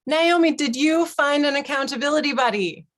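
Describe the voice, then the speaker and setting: A woman's voice: high-pitched, normal speed. A woman hosting a quilting workshop on a video call, encouraging and reassuring, speaking thoughtfully. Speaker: host and quilt teacher.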